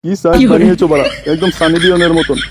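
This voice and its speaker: A man's voice: fairly high pitch, steady tone, fast, very noisy, close to an everyday voice. A man voicing a sleazy, wheedling, flirting village man in a comic cartoon. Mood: lecherous. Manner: lewd, wheedling.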